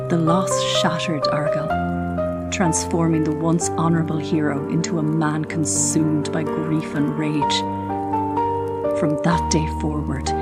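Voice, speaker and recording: A woman narrating a scripted fantasy audio drama as a solemn, measured storyteller. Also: low voice. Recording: loud music under the voice. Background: loud music.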